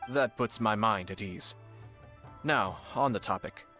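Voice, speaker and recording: A man voicing a calm, refined young noble clan commissioner who speaks in a polite, formal and measured way. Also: fairly low pitch, soft background music. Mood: composed. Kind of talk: game voice acting.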